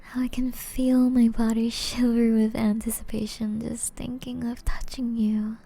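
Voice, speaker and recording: A woman playing a flirtatious, seductive female vampire in an intimate ASMR roleplay, breathy and often whispering, with slow teasing endearments. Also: fairly high pitch, flat delivery, mellow.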